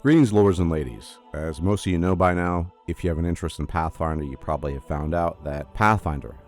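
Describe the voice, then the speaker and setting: Chatty deep-voiced host with a stuffy cold, faint music underneath. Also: male voice, brisk, a little mellow. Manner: enthusiastic, chatty, formal greeting. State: congested from a cold. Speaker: gaming video host. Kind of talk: casual solo commentary to camera.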